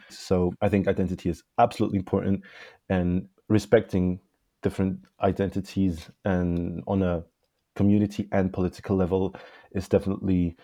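Deep gravelly young man. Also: mellow, no echo. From Switzerland. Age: young adult. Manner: emphatic, repeats "absolutely". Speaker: guest. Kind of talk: earnest opinion talk.

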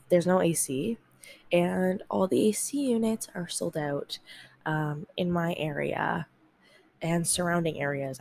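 A woman's voice: low-pitched, creaky, darkish tone. A woman hosting a podcast, talking casually and rambling with many ums, her voice nasal from congestion. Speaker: podcast host. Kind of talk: casual solo podcast talk. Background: fan whir.

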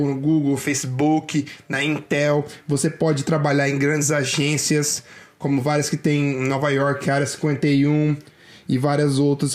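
A young Brazilian man, talking straight to viewers in casual, slangy Brazilian Portuguese and giving career advice. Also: on the high side.

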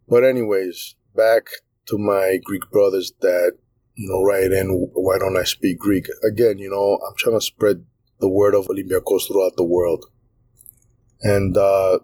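A New man hosts his own podcast in a casual, rambling monologue, leaning on fillers as he talks with earnest passion. His voice sits very low and has a husky, slightly rough grain. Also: a little mellow. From New York, USA. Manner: passionate fan talk. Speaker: podcast host.

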